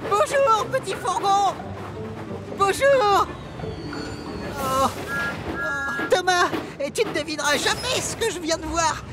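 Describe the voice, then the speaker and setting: A woman voicing an eager young male diesel railcar in a French cartoon dub, bubbly and excited, calling out loud greetings. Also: extremely high pitch, takes it slowly, long pauses, loud music behind the voice, over a noisy crowd, heavily acted.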